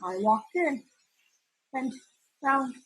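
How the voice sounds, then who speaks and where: A woman playing a hesitant, defensive gentleman suitor giving halting testimony in an amateur radio play, with a husky voice. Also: high-pitched, lively intonation, exaggerated voice, rough recording. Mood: halting, nervous.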